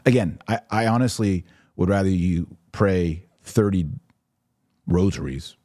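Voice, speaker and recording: Male podcast commentator: very low, mellow voice, conversational but emphatic delivery. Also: unhurried, no room sound, clean studio sound. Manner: conversational, emphatic, acts out imagined exchanges. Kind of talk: casual religious commentary, answering listener questions.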